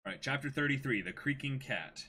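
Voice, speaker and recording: A young man hosting a livestream, chatting casually and reading aloud in an animated way. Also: mid-range voice, a little fast, a little hiss or hum. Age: young adult. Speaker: stream host. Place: small indoor room with backdrop.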